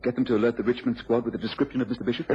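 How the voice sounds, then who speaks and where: A male actor plays an anxious, deferential English gentleman in vintage radio drama. He speaks quickly and eagerly, with small hesitations. The recording is muffled, with light hiss. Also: medium pitch, little pitch movement, natural voice. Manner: hesitant, eager, stammering slightly. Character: anxious, earnest, deferential gentleman seeking help. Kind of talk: 1950s-60s British radio drama acting. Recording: dull, hissy old radio recording.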